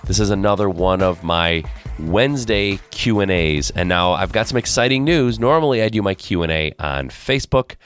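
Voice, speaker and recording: A man hosting a podcast who is under the weather, talking in a chatty, energetic way in a live Q&A. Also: sits low, moderate pace, smooth, faint music behind. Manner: energetic, chatty, repeats phrases. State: under the weather, voice deeper than usual. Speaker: podcast host. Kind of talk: casual live Q&A talk.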